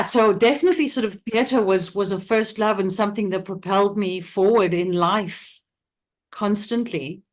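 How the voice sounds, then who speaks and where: A middle-aged woman from South Africa chats as a guest in an interview. She laughs easily and restarts her sentences often, keeping an ordinary mid-range pitch throughout. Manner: chatty, laughs often, many restarts and fillers. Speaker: interview guest, theatre company director. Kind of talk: casual interview talk.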